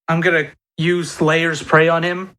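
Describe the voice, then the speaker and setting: A man's voice: fairly high pitch, little pitch movement, unhurried, sharp tone. A man chatting casually as a player at a tabletop game, talking through his moves.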